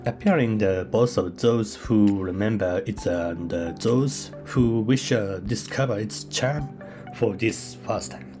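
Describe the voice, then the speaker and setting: A man narrating a travel vlog in English that is not his first language, pausing with fillers and halting phrasing. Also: fairly low pitch, over music. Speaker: travel vlogger. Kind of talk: voice-over travel guide.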